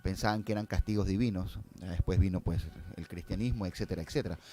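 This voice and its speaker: A man's voice: low voice, fast talker, dark tone. A man talking casually on a podcast as a guest, explaining step by step and often checking in with his listeners. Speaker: guest filmmaker.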